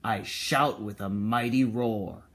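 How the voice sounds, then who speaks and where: A man speaking as a kindergarten teacher, reading and explaining to young children in an expressive, encouraging way with simple phrasing. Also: fairly low pitch, slow pace. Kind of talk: picture book read-aloud and talk to children and parents.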